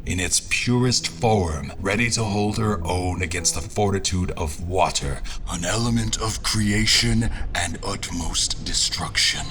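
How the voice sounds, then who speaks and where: An actor playing a reflective military strategist, narrating his adventure in a solemn, deliberate, literary way for an audio drama. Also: low-pitched, pushed and bright, slight background noise. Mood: solemn, admiring. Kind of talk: dramatic scripted first-person narration.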